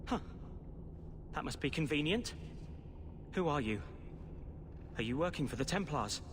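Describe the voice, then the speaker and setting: A resentful teenage boy in a fantasy game. His voice is high and very animated, indignant and petulant, over clear background ambience. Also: measured pace. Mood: resentful, wary. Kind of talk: video game cutscene acting. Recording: clear ambient noise behind.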